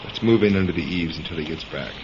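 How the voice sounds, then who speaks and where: A man's voice: low-pitched, fast, noisy background, lightly acted. An actor playing a weary, mild-mannered husband in a vintage-style radio drama, hesitant and resigned with his wife. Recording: dull lo-fi radio recording, loud music.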